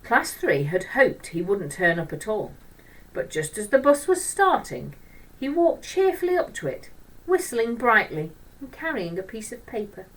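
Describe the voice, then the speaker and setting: A woman storyteller reading a children's book aloud in an expressive narrating style. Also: mid-range voice, normal speed, recorded from a distance, rough recording.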